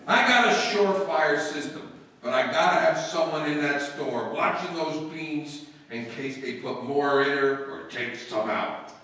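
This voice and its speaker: A man's voice: fairly high pitch, lively intonation, a little slow, sharp tone. An actor playing a blustering, scheming, dim-witted working-class father in old-time radio sitcom style, emphatic and mangling his grammar. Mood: conniving. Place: live stage performance. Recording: echoey live hall, far from mic.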